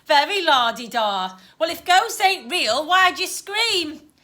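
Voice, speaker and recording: A rough-spoken Cockney boy ghost from a children's story, acted out by a woman reader. The voice is high and forceful, swinging widely in pitch. He is blunt, mocking and short on patience. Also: very animated, slow, exaggerated voice. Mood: smug, mocking. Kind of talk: children's storybook read aloud.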